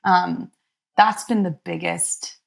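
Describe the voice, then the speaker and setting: Reflective female guest, low-ish voice with gravelly edges, pauses to think. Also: fairly even intonation. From Egypt. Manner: soft, thoughtful, many pauses and ums. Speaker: therapist guest. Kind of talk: reflective interview talk.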